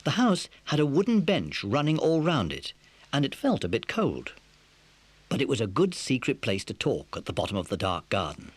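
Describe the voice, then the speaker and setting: A man narrating a scripted children's story as an expressive storyteller. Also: medium pitch, moderate pace, breathy edge, dry recording.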